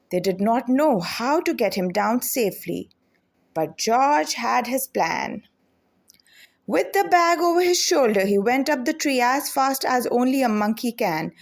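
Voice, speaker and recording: A woman storyteller reading a children's picture book aloud in an expressive, deliberate way. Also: a little high.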